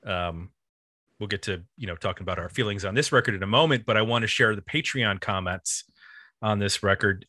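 A man's voice: lowish voice, a little fast, sharp tone, no room sound, clean studio sound. A man hosting a music podcast, talking informally and reading out comments in a casual, dryly joking way. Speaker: podcast host.

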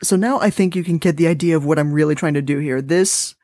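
A man's voice: on the high side, quick pace, a little mellow, faint music behind. A man hosting a podcast in a casual, conversational style. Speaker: podcast host.

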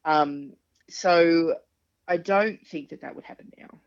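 A woman in her forties with a very low, gravelly speaking voice, chatting frankly and with quick wit about her singing career. The audio is a little dull and lo-fi, like a home podcast setup. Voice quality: deep speaking voice. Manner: frank, chatty, quick-witted, laughs often. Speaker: singer, guest. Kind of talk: casual interview chat.

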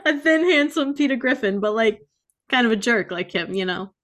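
A woman's voice: a little high, lively intonation, moderate pace, a bit bright. A woman co-hosting a podcast in casual chat, often hedging as she thinks aloud. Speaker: podcast co-host.

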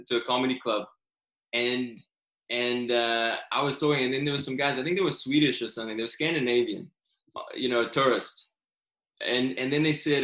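A man's voice: mid-range voice, a bit bright, roomy sound, rough recording. A male author guest with a slight South African accent, chatting casually with many fillers and restarts. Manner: rambling, many fillers and restarts, laughs easily. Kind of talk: casual interview chat.

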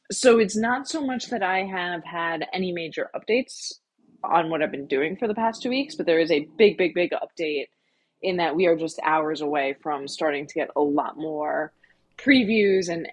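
A woman's voice: sits low, normal speed, no echo. A woman co-hosting a podcast, explaining things casually in long winding sentences. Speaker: podcast co-host.

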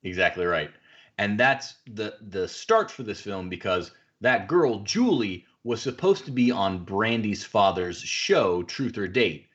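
A man's voice: lowish voice, animated, a little slow, fairly bright tone, highs cut off. A man hosting a podcast, recapping a film in casual, chatty, wordy banter. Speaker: podcast host.

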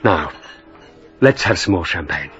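Lively, gentle English gentleman. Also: low-pitched, measured pace. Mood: proud, courteous. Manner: courteous, tender. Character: gentle, devoted English country gentleman, courteous and smitten. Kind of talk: period radio drama acting. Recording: dull recording with music behind. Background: music.

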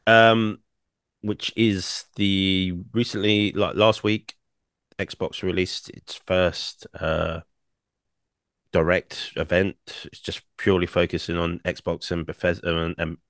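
A young man, co-hosting a casual podcast, rambling with many fillers and restarts, his throat croaky from singing. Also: low-pitched, level tone, slow, fairly bright tone, no room sound, studio quality. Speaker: podcast co-host.

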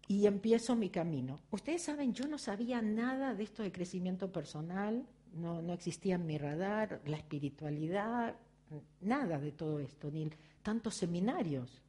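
A middle-aged Argentine woman speaks in a mellow, rather low voice, telling her own story as a motivational talk. She keeps checking in with her listeners through little rhetorical questions, steady and reflective. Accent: Argentine Spanish. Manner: reflective, personal, addressing the audience with frequent rhetorical questions. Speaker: spiritual speaker.

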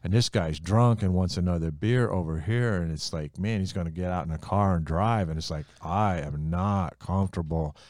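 Middle-aged guy, super low voice, earnest faith story, dry close mic. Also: normal speed, few pauses, no room sound. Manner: earnest storyteller, lively when excited.

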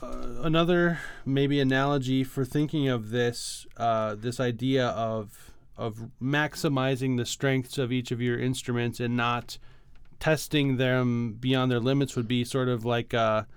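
A man co-hosting a podcast, talking casually about music in a halting, hedging, explanatory way. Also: mid-range voice, fairly even intonation, smooth, dry recording. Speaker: podcast co-host.

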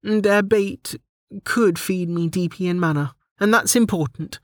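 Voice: mid-range voice, unhurried, fairly bright tone, no room sound, clean studio sound, pitched above the performer's own voice. A narrator voicing a young female dungeon spirit who is chatty, bossy and playful, speaking casually in an audiobook story. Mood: stern. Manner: casual, hesitant, mock-stern.

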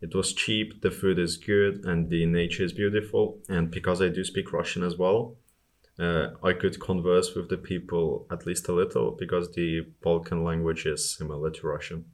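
Very deep-voiced man, casual interview guest. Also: level tone, moderate pace. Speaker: interview guest, bike-touring traveller.

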